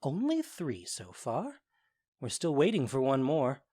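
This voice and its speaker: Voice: medium pitch, wildly swooping pitch, a little slow, warm tone, husky, exaggerated voice. An actor playing a mischievous goblin sprite in an audio drama, theatrical and playful in delivery. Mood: musing. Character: Puck, mischievous goblin sprite serving the fairy lord. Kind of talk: audio drama acting of modernized Shakespeare.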